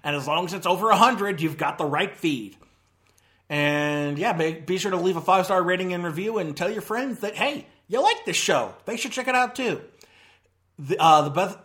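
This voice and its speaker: Man, podcast host, casual movie chat, rambling with restarts, slightly high voice. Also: fairly bright tone. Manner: rambling, with many fillers and self-corrections.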